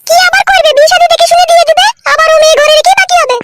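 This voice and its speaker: A woman voicing a nosy, brash, sharp-tongued village aunt with a squeaky voice in a comic cartoon. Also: steady tone, racing, loud music behind the voice. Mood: meddling. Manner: brash, meddling. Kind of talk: amateur comic cartoon dialogue.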